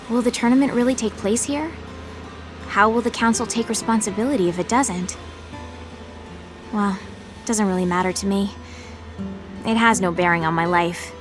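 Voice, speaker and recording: A woman's voice: medium pitch, natural voice. A woman playing an aloof, wry young character who narrates a game audio drama in a cool, detached way. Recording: music behind the voice.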